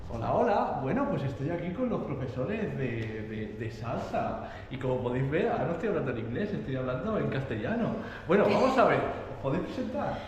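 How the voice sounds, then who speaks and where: A man's voice: a little high, brisk, hardly any pauses, a little hiss or hum. A man in his forties hosting a travel vlog, interviewing dance teachers with eager, encouraging energy. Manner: lively, eager, frequent encouraging backchannels. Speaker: host. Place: bar and dance hall. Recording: echoey hall with music behind.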